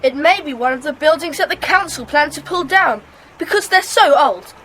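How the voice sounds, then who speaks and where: A woman's voice: high-pitched, lively intonation, normal speed, a bit bright, slight background noise, rough recording. A woman playing a keen, observant, eager schoolboy in a children's detective audio drama.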